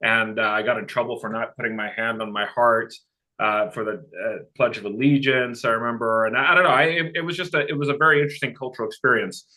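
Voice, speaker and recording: Swedish-born man with an American accent, bright-toned and eager, trips over his words. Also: mid-range voice, fairly even intonation, fast talker, poor audio. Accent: American English. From Sweden. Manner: eager, chatty, stumbling restarts. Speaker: audience member asking a question.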